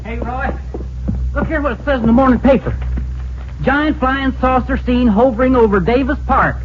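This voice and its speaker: Excitable homespun sidekick, very high male voice, drawl, easily puzzled, muffled hissy old radio recording. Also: moderate pace. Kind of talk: 1940s-50s radio acting.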